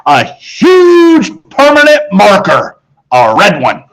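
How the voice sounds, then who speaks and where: A man reading a children's story as expressive scripted narration, dramatic and with deliberate pauses. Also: sits high, low-quality recording. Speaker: audiobook narrator.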